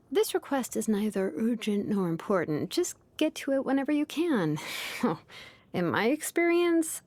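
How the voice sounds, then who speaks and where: A woman voicing a laid-back, sleepy young female blacksmith and name engraver from a video game, languid and nonchalant with soft hesitations. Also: fairly high pitch, dry recording, full character voice. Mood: lazy, weary. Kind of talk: video game character voice lines. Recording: music behind the voice. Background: music.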